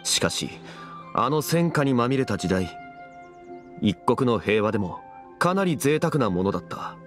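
An actor playing a calm, learned, dignified man who speaks in a measured, formal way in a game. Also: mid-range voice, soft background music. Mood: solemn, explaining. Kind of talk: game voice acting, expository dialogue.

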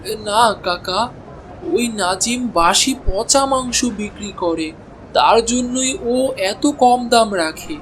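A man's voice: high voice, slow pace, fairly bright tone, slight background noise, low-quality recording. A man voicing an honest, henpecked male butcher in a cartoon, speaking timidly and pleading. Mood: earnest. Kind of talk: cartoon voice acting.

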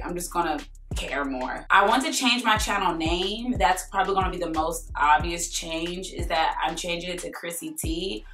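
A young woman with a raspy voice, chatting casually and sassily to camera in her living room. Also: medium pitch, fairly bright tone, reverberant. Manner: chatty, sassy, rambling. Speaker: YouTuber. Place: living room with a Christmas tree.

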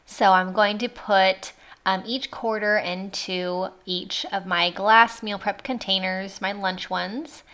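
A woman in her thirties talks through a budget cooking demonstration from her home kitchen. She is chatty and upbeat, filling pauses with little ums, at a middle pitch for a woman. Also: fairly even intonation, a bit bright. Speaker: cooking vlogger.

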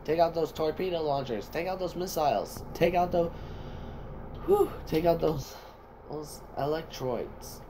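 A man voicing an excitable soldier commander in amateur sci-fi video-game voice lines, giving urgent, halting battle callouts. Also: fairly high pitch, noisy background. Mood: brisk, urgent.

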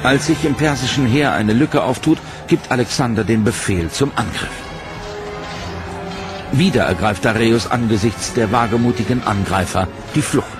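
A man giving scripted documentary narration in a measured, serious storytelling manner. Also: mid-range voice. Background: dramatic music.

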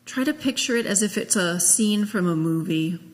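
A woman minister leading a guided meditation, speaking gently and in a measured way with long pauses. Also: mid-range voice, expressive. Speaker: minister leading a memorial service. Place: large church sanctuary, at a pulpit. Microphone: gooseneck pulpit microphone. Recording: long echo of a church hall.

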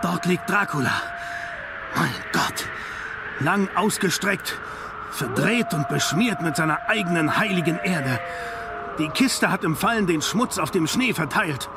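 An actor playing an urgent, commanding professor on a vampire hunt in a horror radio drama. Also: male voice, a little high, expressive, unhurried, very noisy.

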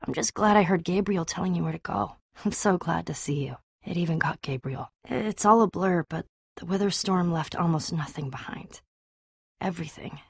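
A woman voicing a tough, guarded but loyal young female adventurer in an animated adventure game, husky and blunt, with a casual, earnest delivery. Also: fairly low pitch, no room sound, clean studio sound, heavily acted. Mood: somber. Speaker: game voice actress.

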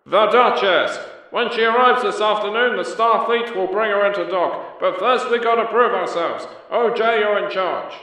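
Echoing, high-voiced commanding fleet captain played by a man. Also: bright, forceful tone. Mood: brisk, commanding. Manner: brisk, authoritative order-giving. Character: stern, commanding male harbour tug fleet captain. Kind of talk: cartoon character voice acting. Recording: echoing, distant megaphone-style sound.

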